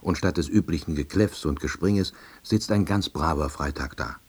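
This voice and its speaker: A man playing a wry, self-deluding office worker who narrates his story in a deadpan, reflective way. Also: rumbling low, normal speed, slightly rough, heavily acted. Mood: dry musing. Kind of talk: first-person radio play narration.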